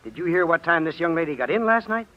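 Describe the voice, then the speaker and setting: An actor playing a cheery, teasing, doting young husband, breezy and jocular, in old-time radio drama style. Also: male voice, on the high side, expressive, fast talker, close to an everyday voice. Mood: teasing, cheerful. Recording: muffled vintage radio recording.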